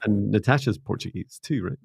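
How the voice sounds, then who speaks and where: A Scottish man hosting a live psychic reading, chatty and upbeat, slowing down gently when passing on messages. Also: fairly low pitch, vocal fry, husky, no room sound. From Scotland. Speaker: psychic reader and co-host.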